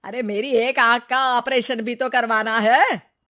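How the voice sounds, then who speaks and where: A woman's voice: a little high, fairly even intonation, a little slow, bright, forceful tone. A woman playing a harsh, greedy, scolding older mother-in-law. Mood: harsh demand.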